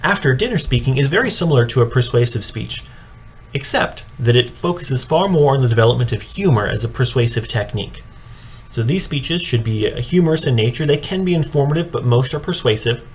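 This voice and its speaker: Male instructor, average pitch, measured explanatory delivery for a judge-training presentation, audible noise behind the voice. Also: animated. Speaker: speech and debate coach training judges. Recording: clearly audible background noise.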